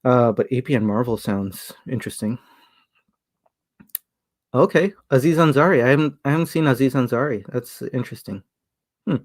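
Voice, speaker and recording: A man from Sri Lanka hosting a casual livestream, reading the chat aloud and talking in a halting way with many fillers and restarts. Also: mid-range voice. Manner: hesitant, many fillers and restarts, chuckles often.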